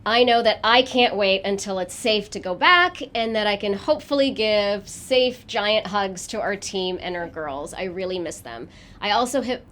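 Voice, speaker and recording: A woman hosting a virtual event, speaking in a warm, chatty way with a few restarts. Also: mid-range voice. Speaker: event host.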